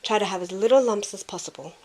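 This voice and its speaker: A woman playing a caring, reserved young woman in an amateur audio drama, soft-spoken and reassuring. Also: mid-range voice, fast, close to an everyday voice, rough recording. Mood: warm, casual.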